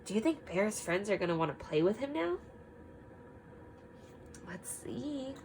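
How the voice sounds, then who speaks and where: A woman reading a picture book aloud to children, expressive and emphatic, pausing to ask simple guiding questions. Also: medium pitch, slight background noise, low-quality recording.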